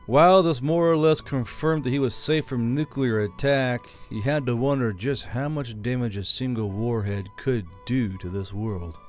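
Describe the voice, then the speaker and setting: A man's voice: medium pitch, darkish tone. A man reading a scripted fantasy audiobook as its narrator. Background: background music.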